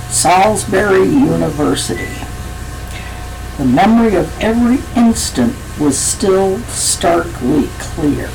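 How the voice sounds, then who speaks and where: Slow, measured woman reading her own fiction. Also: mid-range voice, background noise. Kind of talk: reading a novel chapter aloud.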